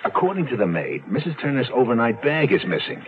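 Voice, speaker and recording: An actor playing a practical police colleague in a 1970s radio drama, conversational and matter-of-fact, with an American accent. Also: male voice, medium pitch, big pitch swings, fast talker, natural voice. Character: practical male police colleague reporting case facts. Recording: muffled, thin vintage radio recording.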